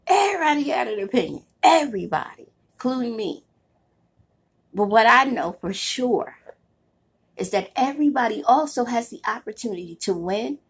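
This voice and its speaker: Stuffy-nosed woman doing a pep-talk podcast, kinda husky, preachy and repeats stuff. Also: fairly high pitch, lively intonation, normal speed. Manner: emphatic, preachy, repeats phrases for effect. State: congested and nasal from a cold. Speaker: podcast host and author. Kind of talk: motivational podcast monologue.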